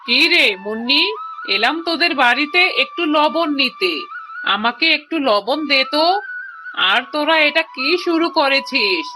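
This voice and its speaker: A brusque cartoon tigress auntie acted by a woman, pitched fairly high. She scolds and mocks her neighbours with open scorn, over a clear music bed. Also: expressive, a little slow. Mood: brusque, mocking. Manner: scolding, mocking. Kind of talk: Bengali children's cartoon voice acting.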